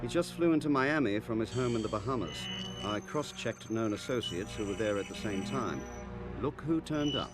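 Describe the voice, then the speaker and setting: A man's voice: lowish voice, lively intonation, lightly acted. A man playing a composed intelligence aide. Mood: measured. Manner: measured, formal briefing. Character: composed male spy agency aide, deferential and factual. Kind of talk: scripted game cutscene dialogue. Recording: music behind the voice.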